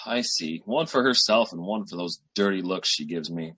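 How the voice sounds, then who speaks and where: An actor playing a boastful, slangy small-time schemer boyfriend in 1940s radio sitcom style, with a raspy voice. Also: male voice, low voice, expressive, a little slow, pushed and bright, heavily acted. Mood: self-pitying. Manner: slangy, bragging, theatrical hustler patter.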